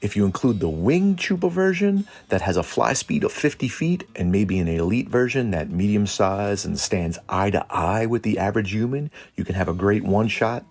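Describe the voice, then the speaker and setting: A man hosting a lore deep dive in a colloquial, irreverent way, part scripted commentary and part casual aside. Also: a little mellow, husky. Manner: colloquial, irreverent, mildly profane. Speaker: host of a cryptid and tabletop lore channel.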